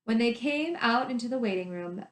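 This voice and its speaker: Highish-voiced woman reading aloud, echoey room. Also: expressive, normal speed. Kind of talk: storybook narration with casual asides.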